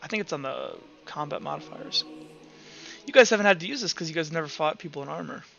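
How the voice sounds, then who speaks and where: A man with a high, bright voice acts as game master for a tabletop game. He talks casually and ramblingly through rules, with music playing behind a slightly dull recording. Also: lively intonation, fast, gravelly. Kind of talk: running a tabletop roleplaying game, explaining rules. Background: background music.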